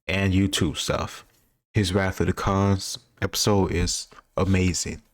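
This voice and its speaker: An American man chatting casually as he gives rambling, opinionated reaction commentary and often corrects himself. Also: sits low. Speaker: host reacting to a history quiz video.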